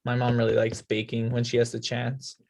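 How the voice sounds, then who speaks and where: Young male student, relaxed chatty delivery over a video call. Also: mid-range voice, fairly quick, gravelly. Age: young adult. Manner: casual, with many likes and uhs. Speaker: student guest.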